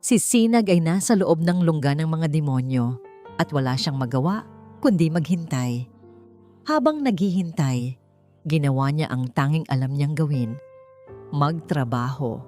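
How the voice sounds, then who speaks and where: A woman reading a scripted, dramatic suspense love story in short, measured phrases. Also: low-pitched, warm tone. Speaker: narrator of a story channel. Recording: clear music bed behind the voice. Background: background music.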